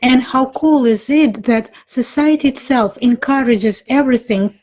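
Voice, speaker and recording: Female live interpreter, slightly high register, phrase-by-phrase halting delivery of personal talk. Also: darkish tone. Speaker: interpreter voicing forum speakers' words in English.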